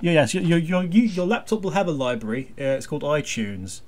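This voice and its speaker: A man's voice: a little high, big pitch swings, fairly quick, a little mellow. A man hosting a casual commentary chat, speaking in a hesitant, rambling way with dry jokes. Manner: hesitant, rambling, dryly jokey. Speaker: podcast host.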